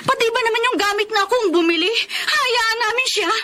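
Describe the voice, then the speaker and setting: A woman's voice: extremely high pitch, a little fast, sharp tone. A young woman playing a protective, wounded elder sister in a radio drama, pleading and tearful. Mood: hurt, indignant. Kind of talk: Tagalog radio drama acting.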